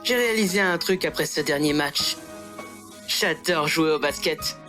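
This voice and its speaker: A woman's voice: low voice, measured pace, fairly bright tone. A woman playing a sincere, friendly teenage boy who loves basketball in an anime fan dub. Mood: resolved, sincere. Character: earnest, sporty teenage boy, basketball player. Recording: music under the voice.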